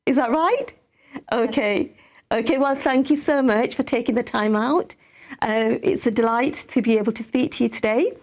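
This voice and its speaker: Croaky-voiced woman hosting an interview. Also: a little high, normal speed. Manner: warm, polite, thanks often. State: croaky from a cold. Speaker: host. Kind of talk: hosted interview.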